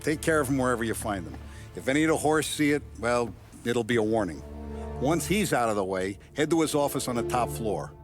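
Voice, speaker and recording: An actor playing a middle-aged mob boss in a game, paternal but ruthless, speaking with deliberate authority. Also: male voice, medium pitch, expressive, music in the background, natural voice. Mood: cold, commanding. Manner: deliberate, authoritative, giving orders. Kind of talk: dramatic video game acting.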